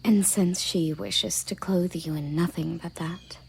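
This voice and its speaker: A woman playing a stern, haughty elven guard captain in an audio roleplay, with a soft, breathy, husky voice and a curt, condescending manner. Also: lowish voice, fairly even intonation, measured pace, a little hiss or hum. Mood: annoyed, commanding. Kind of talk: audio roleplay addressed to the listener.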